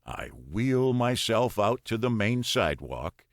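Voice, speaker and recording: A man reading a short story in the first person as an audiobook narrator, in a measured, expressive storytelling delivery. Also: lowish voice, no echo, studio quality.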